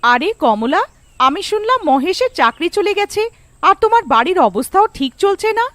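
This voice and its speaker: A woman's voice: very high voice, very animated, normal speed, a bit bright, no room sound, voice pushed up, heavily acted. A woman voicing a kindly, reassuring older aunt who gives instructions in a cartoon story. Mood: concerned. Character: kindly, well-off older neighbour aunt offering help.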